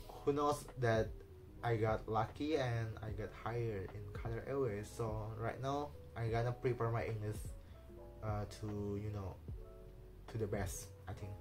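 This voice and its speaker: A man whose first language is Indonesian, talking casually in halting English with many fillers, restarts and small nervous laughs. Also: mid-range voice, a little mellow, low-quality recording. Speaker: vlogger. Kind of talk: casual personal vlog talk.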